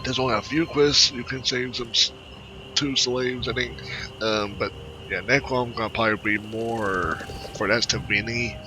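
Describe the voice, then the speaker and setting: A man giving casual, halting video game commentary, rambling as he thinks aloud. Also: mid-range voice, fairly even intonation, poor audio. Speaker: gamer narrating a playthrough. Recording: voice over prominent game music. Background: game music.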